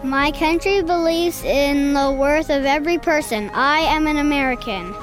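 A speaker reciting a scripted patriotic piece in a measured, formal way. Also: high voice. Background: prominent music.

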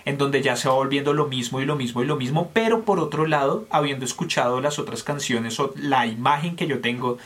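A man from Colombia talks through new songs in a casual review show. He is candid and opinionated, sorting out his thoughts aloud with plenty of fillers and restarts. His voice sits at an ordinary middle pitch. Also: fairly quick, hardly any pauses, fairly bright tone. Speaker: music reviewer co-host. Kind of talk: casual music review chat.

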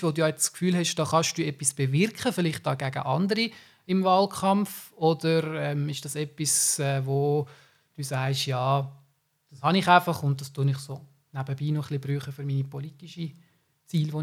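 Man, somewhat high voice, interviewer, long winding questions. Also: normal speed. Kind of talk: political interview.

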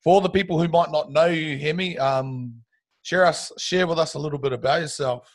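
Kiwi male podcast host, relaxed questioner, voice a touch higher than most men. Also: normal speed. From New Zealand. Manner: laid-back, repeats short affirmations. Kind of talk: casual podcast interview.

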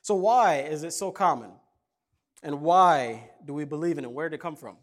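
Lively conversational preacher man. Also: on the high side, moderate pace. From Kenya. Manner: conversational, jokey, animated. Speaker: pastor. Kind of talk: casual sermon with stories.

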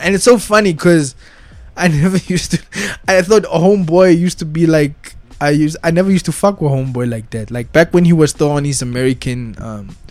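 A young man, giving casual, excited reaction commentary full of slang and swearing. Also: medium pitch. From South Africa. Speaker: reaction video host.

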